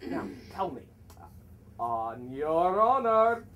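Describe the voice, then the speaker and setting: A man acting out a boorish, hot-tempered gentleman in a comic stage play. He declaims slowly and sarcastically, swinging widely in pitch. The voice is caught by a distant microphone on stage. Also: very animated, highs cut off, slight background noise. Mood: scornful. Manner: declamatory, sarcastic, exaggerated, swinging from bellows to murmurs. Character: blustering, boorish, misogynist middle-aged man, mocking and hot-tempered. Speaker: student stage actor. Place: theatre stage. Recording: distant mic on a theatre stage.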